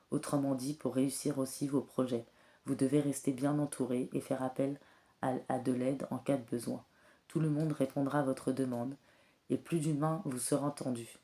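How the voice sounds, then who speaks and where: A woman with a cold and a slightly hoarse voice, giving a spiritual card reading in a soft, hesitant way with many filler pauses. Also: a bass voice. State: has a cold, clears throat often. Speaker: tarot and oracle card reader.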